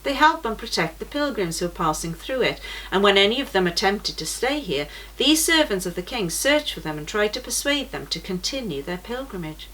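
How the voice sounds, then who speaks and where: A woman voicing a steady grown man who guides pilgrims, speaking calmly and reassuringly. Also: medium pitch, fairly quick, few pauses, poor audio. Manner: calm, reassuring.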